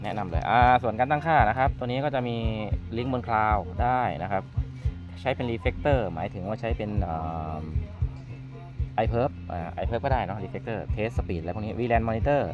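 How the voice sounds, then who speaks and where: A man's voice: lowish voice, moderate pace. A man giving an informal hands-on product walkthrough as a trainer, repeating words as he explains. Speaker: product trainer demonstrating network testers. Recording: muffled lo-fi audio, music underneath. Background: background music.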